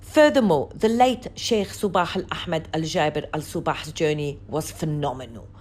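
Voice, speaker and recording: Low-voiced woman narrating a news report. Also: normal speed, darkish tone, slight background noise.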